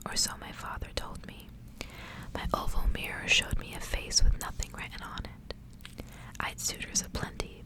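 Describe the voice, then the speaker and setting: A woman reading a storybook aloud for ASMR in a breathy, unvoiced whisper, with gentle phrasing. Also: rough recording. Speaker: ASMR reader.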